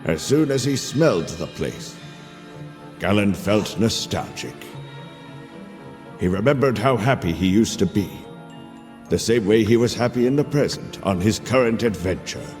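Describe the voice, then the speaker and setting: A man playing a patient storyteller, narrating a knight's adventure for a video game in a measured, dramatic way. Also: lowish voice, lively intonation, darkish tone. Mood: measured, nostalgic. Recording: long reverb, music underneath.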